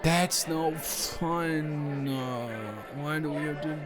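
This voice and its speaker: A man's voice: fairly high pitch, very slow, crowd noise. A man playing a tipsy, stubborn male roommate at a party, rambling and repeating himself in an improvised audio roleplay. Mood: whiny, confused. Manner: rambling, tipsy, dragged-out and repetitive. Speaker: audio roleplay performer, channel creator.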